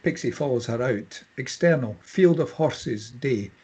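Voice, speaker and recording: A man's voice: medium pitch, animated, unhurried, darkish tone, breathy edge. A man reading out screenplay stage directions as scripted narration at a table read.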